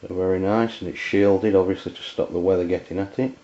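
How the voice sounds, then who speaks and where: A man's voice: sits low, fairly even intonation, mellow. A man with an English accent giving an unscripted, hesitant product demonstration in plain words, recorded on a dull lo-fi camera microphone. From England. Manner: hesitant, halting, plain-spoken. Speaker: amateur radio reviewer.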